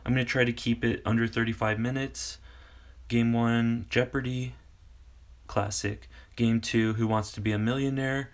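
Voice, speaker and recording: A man in his forties from Kansas, a teacher casually explaining classroom games in a rambling, halting way full of fillers. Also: medium pitch, little pitch movement. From Kansas, United States. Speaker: English teacher and video host. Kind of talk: casual tutorial talk.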